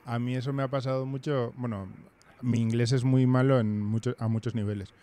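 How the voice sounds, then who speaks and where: Male host in his thirties, halting and hesitant, close mic, small home room. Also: medium pitch, steady tone, mellow. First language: Basque. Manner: hesitant, many fillers and restarts. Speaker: podcast host. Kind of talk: casual video-call chat. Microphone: headphones and studio mic.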